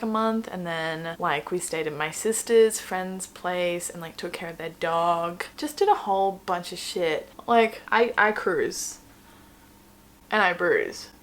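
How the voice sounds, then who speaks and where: A woman's voice: fairly low pitch, a bit bright. Rambling young woman chatting into a camera. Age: 20s. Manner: chatty and rambling, many "like"s and self-mocking asides. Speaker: vlogger. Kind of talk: casual chat while doing makeup. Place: home room indoors.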